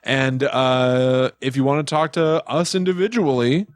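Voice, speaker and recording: A man's voice: mid-range voice, steady tone, a little slow, no room sound. A man co-hosting a podcast in casual conversation, with jokey, mock-dramatic asides. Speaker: podcast co-host.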